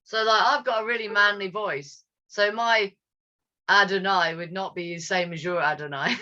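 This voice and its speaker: A man interviewing a guest in a casual way, curious, with quick follow-up questions. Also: high voice, steady tone, bright, forceful tone, highs cut off.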